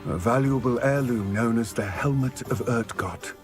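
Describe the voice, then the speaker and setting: An actor voicing an aging, proud goblin in a video game, speaking in a formal, measured, eloquent way. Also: male voice, mid-range voice, over music, natural voice. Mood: solemn, measured. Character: aging male goblin, solemn, proud, formal former fighter. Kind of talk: video game cutscene acting.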